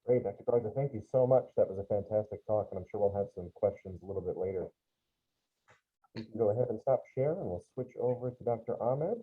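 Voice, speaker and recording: A man moderating a talk session, brisk and upbeat. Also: on the low side, breathy edge. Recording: tinny phone-like line.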